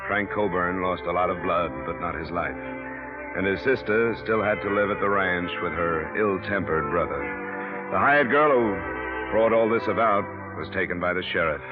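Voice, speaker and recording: A cool, hardboiled investigator, played by a man narrates and questions in a clipped, dry way. His voice sits very low. The old radio sound is dull, with music clearly underneath. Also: normal speed, close to an everyday voice. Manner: clipped, dry, matter-of-fact. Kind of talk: 1940s radio drama acting.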